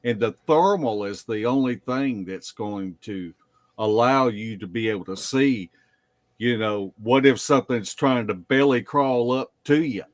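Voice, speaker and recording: A man telling field stories casually as a podcast guest, in a halting way with drawn-out pauses. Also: medium pitch, no echo, studio quality. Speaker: guest, Bigfoot field investigator. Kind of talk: casual storytelling in a live podcast interview.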